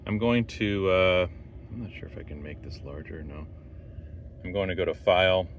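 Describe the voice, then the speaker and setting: Low-pitched man, rambling vlog, noisy background. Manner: rambling, halting, thinking aloud. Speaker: amateur radio operator making a vlog. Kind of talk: casual unscripted video walkthrough. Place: in and around a parked truck. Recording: steady background noise of car and outdoors.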